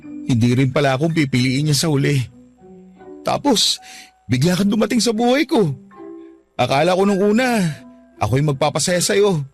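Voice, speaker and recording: A man's voice: fairly high pitch, lively intonation, normal speed, pauses often, soft background music. A man voicing a heartbroken young man who speaks emotionally and gratefully about a new love, in radio drama style.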